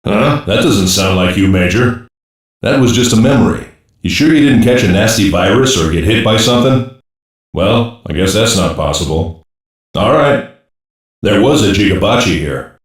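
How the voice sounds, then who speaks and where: A male actor plays a gruff special-ops cyborg soldier from an anime dub. His low voice delivers blunt, slangy wisecracks with a sardonic edge. The sound is heavily echoed and sits far from the mic. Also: animated, moderate pace, natural voice. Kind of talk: English-dub anime voice lines.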